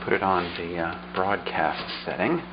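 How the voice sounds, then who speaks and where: A man with a low, easygoing voice walks through an old radio at a relaxed pace, pausing on fillers as he thinks. The sound is a little dull, with a steady hiss audible behind him. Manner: unhurried, thinking aloud with fillers. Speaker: vlogger showing a vintage radio. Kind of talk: casual show-and-tell demonstration.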